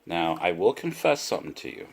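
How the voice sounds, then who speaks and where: A man giving a casual, unscripted product demonstration, speaking deliberately and repeating himself for emphasis. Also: low voice, big pitch swings, gravelly, a little hiss or hum. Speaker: product reviewer.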